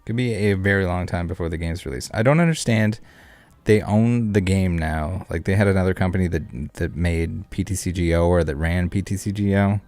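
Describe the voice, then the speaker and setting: Low-voiced man live streaming casually. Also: a little fast, a little mellow, no room sound. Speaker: streamer, host of a card game live stream. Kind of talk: casual live game commentary and chat with viewers. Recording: music playing behind the voice. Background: background music.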